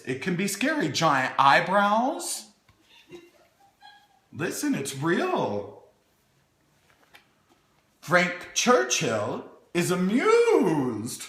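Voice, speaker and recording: A man's voice: on the high side, fairly bright tone. A man hosting a playful storytime, reading a children's book with wry, chatty asides in a theatrical way, as if talking to small children. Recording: echoey room.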